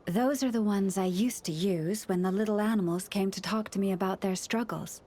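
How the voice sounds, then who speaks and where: A woman voicing a gentle, solemn young priestess-like counselor in a video game, speaking in measured, formal phrases. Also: mid-range voice, background noise, close to an everyday voice. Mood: serene. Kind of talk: video game cutscene voice acting. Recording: music under the voice.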